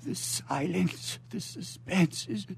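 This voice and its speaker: A tender old father voiced by an actor laments in a stage melodrama for radio. The voice is creaky and slow, swooping widely as it pleads and exclaims. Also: medium pitch, expressive, heavily acted. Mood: anguished, tender.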